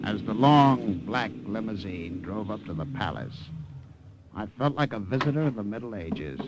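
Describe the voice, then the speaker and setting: An actor playing a nervous American secret agent who narrates the story steadily and stammers and fumbles in scenes, in 1950s radio drama style. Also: male voice, on the low side, animated, normal speed, lightly acted, poor audio. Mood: narrating.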